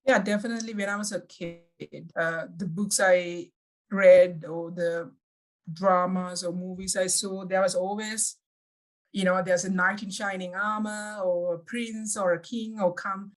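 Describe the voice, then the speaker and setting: A woman from Sri Lanka, a guest in an interview, giving reflective answers and often pausing to find her words. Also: fairly low pitch, fairly even intonation, low-quality recording. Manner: thoughtful, pauses often to search for words.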